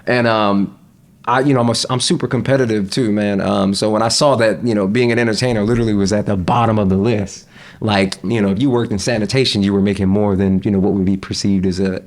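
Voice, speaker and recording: A young man chatting casually as a podcast guest, relaxed, with frequent laughs and fillers. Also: lowish voice, fast talker. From United States.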